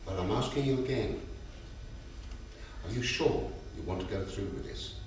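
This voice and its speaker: A man playing an elderly father who gently, earnestly pleads with his daughter in a staged drama reading. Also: on the low side, moderate pace, lightly acted. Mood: gently insistent. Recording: very echoey theatre, distant mic, noisy.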